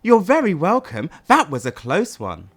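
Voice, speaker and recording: A man's voice: high voice, huge pitch swings, normal speed, pitched above the performer's own voice, heavily acted. A man voicing a kind, mopey little hero in a children's storybook. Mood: friendly.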